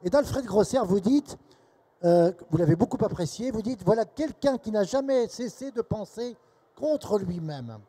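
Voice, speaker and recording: High-pitched, mellow man interviewing. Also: animated. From provincial France. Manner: wordy, deliberate phrasing with frequent interjected tags. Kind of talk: literary interview, quoting from a book.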